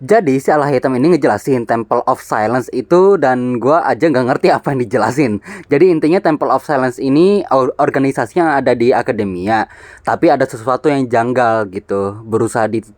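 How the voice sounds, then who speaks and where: A man's voice: mid-range voice, fast talker, a little mellow, no room sound. A young man giving casual, slangy, joking game commentary. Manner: informal, joking, exclamatory. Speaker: gaming video creator.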